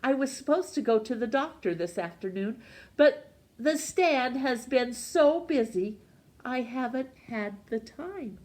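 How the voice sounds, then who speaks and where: A woman's voice: a little high, animated, measured pace, darkish tone, voice pushed up. Woman as an ailing, overworked storybook newsstand man. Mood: weary, confiding. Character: ailing, overworked male newsstand keeper, easily astonished. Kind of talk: storybook character voice.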